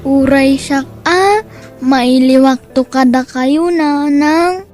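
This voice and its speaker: High-voiced young boy, Ilocano accent, slow drawn-out speech, earnest, dutiful, audio drama, music behind. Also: a little mellow. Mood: respectful, dutiful. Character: Simon, poor dutiful young boy who sings.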